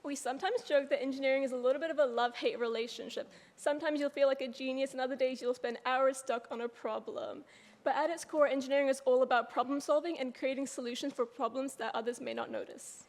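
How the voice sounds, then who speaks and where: Young NZ woman, student presenter, high voice, friendly, long hall echo. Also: steady tone, fast talker, a little mellow. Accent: New Zealand. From New Zealand. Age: young adult. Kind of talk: presenting to an audience.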